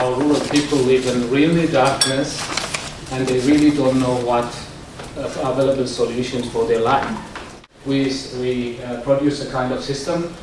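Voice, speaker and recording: A man explaining a rural electrification scheme, halting with fillers and restarts. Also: medium pitch, a little hiss or hum. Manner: halting, repeats words. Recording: echoey, distant mic.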